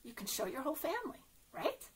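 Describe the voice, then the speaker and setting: A woman's voice: high-pitched, sing-song, quick pace. A woman leading an interactive story time as a children's librarian, encouraging and sing-song, often putting questions straight to young listeners.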